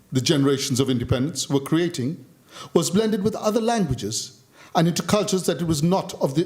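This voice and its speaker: A man from India reads his own essay in a measured, rhythmic delivery, with a fairly high voice that rises and falls expressively. He pauses deliberately between short, pointed phrases. This is a writer performing a reading at a public talk. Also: darkish tone, slightly rough. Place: stage of a public talk venue.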